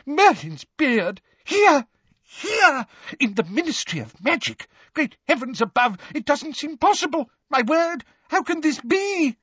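A man's voice: extremely high pitch, sing-song, unhurried, sharp tone, dry recording, lo-fi sound, voice pushed up, heavily acted. A man voicing a flustered older government minister in an audiobook, stammering, blustering and gibbering with panic. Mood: gibbering, amazed.

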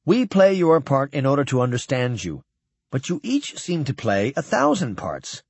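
Man as breezy teasing alien, lively pitch. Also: normal speed, slightly rough, no room sound. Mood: wry, breezy. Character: playful, inquisitive alien imitating a young officer.

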